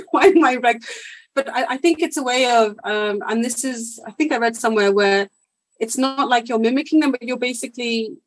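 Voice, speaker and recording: A woman's voice: fairly high pitch, normal speed, no room sound, rough recording. A young adult woman, a guest chatting casually in an interview, laughing often and talking with many fillers. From India and the United Kingdom.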